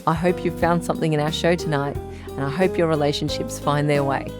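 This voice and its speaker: A woman playing a calm doctor who hosts a radio advice show in an audio drama, measured, articulate and reassuring. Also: low-pitched, over music, lightly acted. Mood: reassuring, sincere. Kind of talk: scripted audio drama as radio call-in host.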